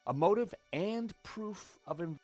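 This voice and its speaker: A man's voice: high-pitched, animated, a little slow, a little mellow, dry recording, dull audio, faint music behind. An actor playing a wry, inquisitive insurance investigator in a video game, conversational in his questioning and narration. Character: wry, inquisitive male insurance investigator, easygoing amateur sleuth.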